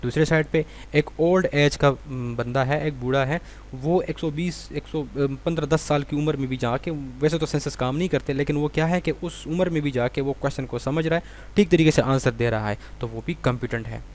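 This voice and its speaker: A man's voice: a little high, normal speed, a little mellow. A Pakistani man giving an explanatory law lecture as a tutor, reading out questions and then explaining them didactically, repeating and rephrasing his points.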